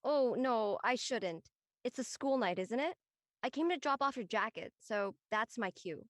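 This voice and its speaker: Woman playing easygoing aunt, highish voice, natural and casual, really dry audio. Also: lively intonation, a little slow, no echo. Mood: friendly, casual. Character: easygoing adult aunt recalling her college years. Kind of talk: naturalistic live table-read acting.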